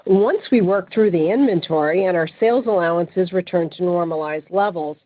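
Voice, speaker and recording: A woman's voice: on the low side, moderate pace, no room sound. Female finance chief for a scripted earnings report, formal and businesslike, dry, thin telephone conference sound. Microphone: phone conference line. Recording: tinny, narrow phone conference line.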